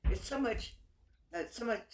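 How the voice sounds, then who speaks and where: An American woman, middle-aged or older, giving unscripted opinion talk to her viewers in an earnest, exhorting manner, often repeating words haltingly. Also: a little high, a little hiss or hum. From United States. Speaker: vlogger addressing viewers.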